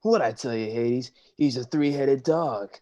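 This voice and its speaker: Sea god as teasing surfer bro male actor: relaxed, mellow, slangy stage delivery. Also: mid-range voice, measured pace, highs cut off, close to an everyday voice. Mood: laid-back. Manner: slangy, drawling surfer talk. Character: laid-back surfer-dude sea god, Poseidon, young and teasing. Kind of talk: live staged play reading.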